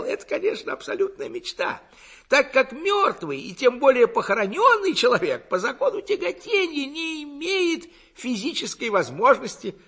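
Dumb pompous village orator from an audiobook, throaty gurgle, way high voice, super affected. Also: male voice, fairly bright tone, husky, heavily acted. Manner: affected, gushing, self-assured oratory in clumsy learned words. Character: pompous, ignorant village lecturer, a man, smug windbag. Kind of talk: audiobook character acting.

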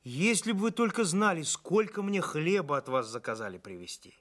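A man reading the dialogue of an earnest, eager male doctor from the city, in a polite, animated manner. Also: a little high, moderate pace, pitched above the performer's own voice.

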